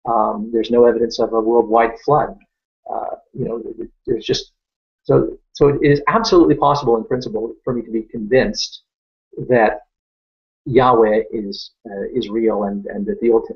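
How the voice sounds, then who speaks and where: Gravelly French Canadian man, lo-fi stream. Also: medium pitch. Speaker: Q&A host. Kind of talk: live Q&A answering viewer questions.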